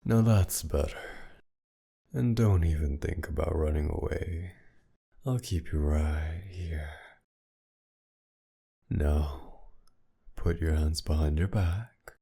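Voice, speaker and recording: A man playing a mocking, menacing masked villain kidnapper in an intimate ASMR roleplay, with a gravelly, breathy murmur that often sinks toward a whisper. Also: very deep voice, mellow, exaggerated voice. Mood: commanding, mocking. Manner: taunting, commanding, slow and teasing.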